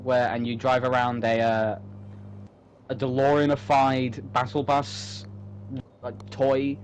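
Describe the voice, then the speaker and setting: A man's voice: mid-range voice, level tone, slow. A man chatting casually and unscripted, rambling enthusiastically with some swearing. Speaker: co-host. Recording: clearly noisy background, home-made recording.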